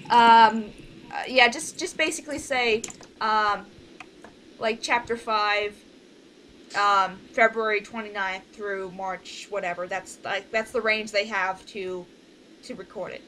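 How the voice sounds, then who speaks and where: A woman's voice: medium pitch, fairly even intonation, slow, fairly bright tone, a little hiss or hum, poor audio. A woman leading a casual online group meeting, talking informally through instructions step by step. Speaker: organizer leading a voice project meeting.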